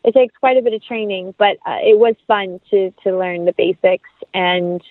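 A woman's voice: mid-range voice, normal speed, no room sound. Chatty woman on a phone-like line. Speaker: actress, interview guest. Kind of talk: casual conversational interview.

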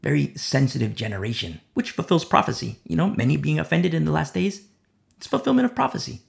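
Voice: medium pitch, big pitch swings, fast talker, creaky. A preacher delivering a sermon, with rhetorical questions and a repeated "you see?".

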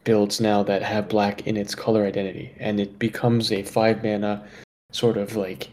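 A man co-hosting a podcast, talking casually with many fillers, often dropping quieter at the ends of his thoughts. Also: fairly low pitch, moderate pace, a little hiss or hum. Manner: relaxed, lots of fillers, trails off quietly. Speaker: podcast co-host.

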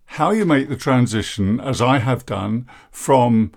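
A man in his sixties, a therapist hosting his own podcast, talking in a deep, croaky, downbeat and self-deprecating way. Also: lively intonation, a little slow, a little mellow. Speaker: podcast host, therapist and coach. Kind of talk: reflective podcast monologue.

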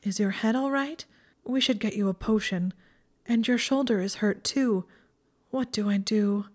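A woman's voice: medium pitch, moderate pace, a little mellow, close to an everyday voice. A woman voicing an anguished, guilt-ridden and fierce young female student in an audiobook, urgent and insistent. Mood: anguished, apologetic.

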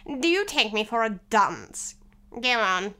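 Woman acting a storybook king, male, impatient, emphatic, slow weighty orders, sharp and threatening. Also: a little high, sing-song, exaggerated voice.

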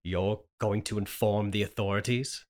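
A woman's voice: very deep voice, fairly even intonation, normal speed, darkish tone. A woman playing an anguished, guilt-ridden husband, pleading melodramatically in a campy old-style radio horror play. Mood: quiet, wary. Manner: overwrought, pleading. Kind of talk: campy 1930s radio drama table read.

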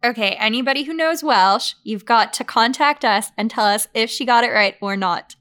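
A woman's voice: fairly high pitch, moderate pace, fairly bright tone. A woman hosting a work podcast, running a playful quiz for her colleagues in an upbeat manner. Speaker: podcast host.

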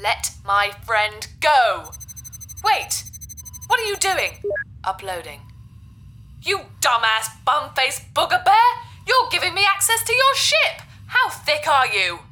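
A woman's voice: sits high, big pitch swings, slow pace, pushed and bright, slight background noise, exaggerated voice. Swooping, bratty female AI. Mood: indignant, cheeky. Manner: childlike taunting, theatrical, sing-song. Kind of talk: science fiction audio drama acting. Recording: echoey, long reverb, music behind.

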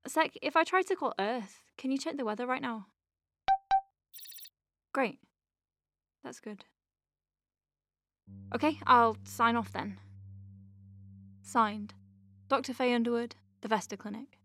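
A woman playing an earnest, gently wry space-clinic doctor in an audio drama, conversational, self-deprecating and a little hesitant. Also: fairly high pitch, takes it slowly, pauses often. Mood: wry, relieved.

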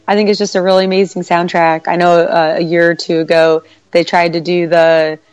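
A woman's voice: on the low side, little pitch movement, a little fast, a little mellow, clear, resonant tone, no echo, dull audio. Congested woman in casual chat. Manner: chatty, laughs often, many fillers. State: congested from a cold. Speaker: podcast co-host.

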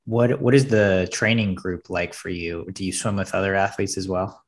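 An interviewer asking casual questions and reacting with friendly enthusiasm. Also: female voice, extremely low pitch, steady tone, moderate pace.

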